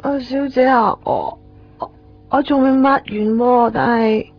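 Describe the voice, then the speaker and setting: A slightly dull nineties radio drama recording with faint music behind. a wistful young Hong Kong Cantonese cleaning girl, timid and apologetic, in a fairly high voice. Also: fairly even intonation, unhurried.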